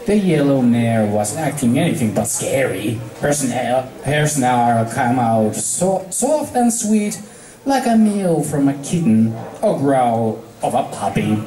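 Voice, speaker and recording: An amateur reader narrating a story from a script, halting and rereading words. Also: medium pitch, sing-song, warm tone. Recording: echoey room, distant mic.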